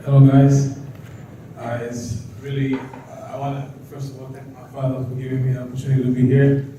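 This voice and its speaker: A man's voice: medium pitch, monotone, fairly quick, warm tone. A man, a business delegate, giving brief and hesitant remarks. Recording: echoey hall, distant mic, faint noise.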